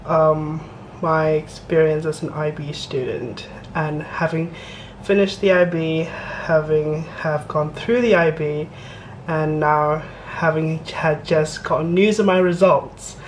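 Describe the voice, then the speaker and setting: A man's voice: on the high side, fairly even intonation, takes it slowly, a little hiss or hum. A young man from Malaysia chatting casually to the camera as a student vlogger, rambling a little with self-deprecating asides. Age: young adult.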